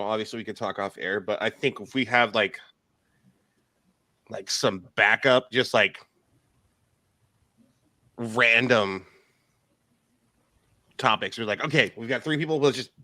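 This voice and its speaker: A man's voice: medium pitch, steady tone, a little slow, long pauses, bright, forceful tone, no room sound. A man co-hosting a casual music talk show, rambling and joking with lots of fillers. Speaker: podcast co-host. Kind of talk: casual chat.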